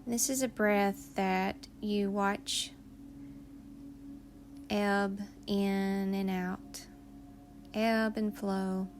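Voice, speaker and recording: A woman leading a guided meditation in a soothing, unhurried manner, leaving long pauses between phrases. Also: medium pitch, level tone, slight background noise.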